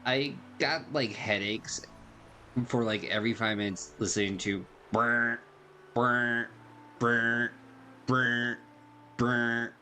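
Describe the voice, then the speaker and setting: A man's voice: medium pitch, level tone, slow, long pauses, pushed and bright, a little hiss or hum. A man giving casual game commentary as a streamer, chatty, slangy and laid-back. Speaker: gaming streamer. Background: faint game music.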